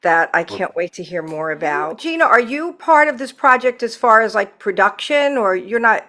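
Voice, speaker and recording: A woman's voice: medium pitch, animated, normal speed, sharp tone. A woman hosting a podcast over a video call, chatting casually and gushing with enthusiasm. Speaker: podcast host. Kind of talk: casual interview chat.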